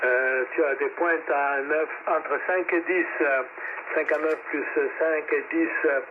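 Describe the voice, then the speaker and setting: A man's voice: a little high, fairly even intonation, unhurried. A man running an amateur radio net, talking hesitantly with many filler sounds and spelling out call signs. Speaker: net control operator of a ham radio net. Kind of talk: ham radio net chat, switching languages. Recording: tinny shortwave radio with static.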